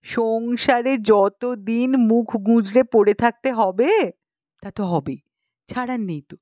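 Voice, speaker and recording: A woman's voice: on the high side, slow, darkish tone, no room sound, highs cut off. A woman voicing a pious, chatty young village matron in an audiobook, explaining things knowingly in colloquial rural Bengali speech with exclamations. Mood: pious, resigned. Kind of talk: audiobook character dialogue.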